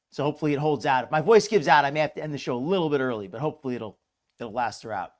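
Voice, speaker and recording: A slightly hoarse man hosting a live reaction stream, chatty and mocking, greeting viewers in chat by name. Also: mid-range voice, lively intonation, very fast. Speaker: commentary livestream host. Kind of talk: casual live reaction commentary.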